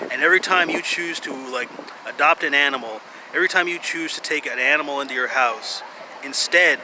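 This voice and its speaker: Rambling man in noisy outdoor recording. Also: fairly high pitch. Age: 30s. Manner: halting, repetitive, sardonic, profane. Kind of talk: rambling casual monologue. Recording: clearly noisy outdoor urban ambience, traffic.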